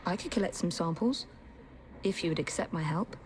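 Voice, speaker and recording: An actress playing a sensible, measured young British assassin in a video game. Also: female voice, lowish voice, expressive, a little mellow, a little hiss or hum, lightly acted. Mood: friendly, curious. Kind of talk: video game cutscene acting.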